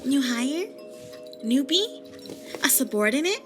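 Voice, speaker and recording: A woman's voice: high voice, sing-song, slow, exaggerated voice. A woman playing a bubbly former sheep girl. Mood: eager. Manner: cheerful, wide-eyed and easily delighted. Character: bubbly young woman who used to be a sheep. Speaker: guild member. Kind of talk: scripted voice drama. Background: background music.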